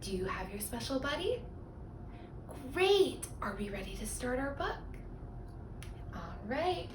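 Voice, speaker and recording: A woman with a high, lively voice leads story time for little children. She speaks in simple, encouraging phrases with expressive rises and falls, like someone reading aloud to a young group. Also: unhurried, echoey room, recorded from a distance, background noise. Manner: simple questions and encouragement addressed to small children.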